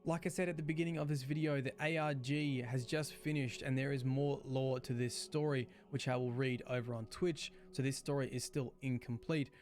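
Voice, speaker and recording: A man reading scripted explainer narration in a clear, expository way. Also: mid-range voice, fairly even intonation, normal speed, few pauses, a little mellow. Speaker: lore video narrator. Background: background music.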